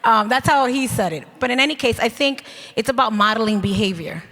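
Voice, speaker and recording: A woman state representative answering on a panel in an earnest, conversational way, often ending points with a quick "right?". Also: a little high, animated, fairly bright tone. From New York City. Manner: conversational, earnest, with tag questions. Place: conference hall panel. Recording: reverberant hall.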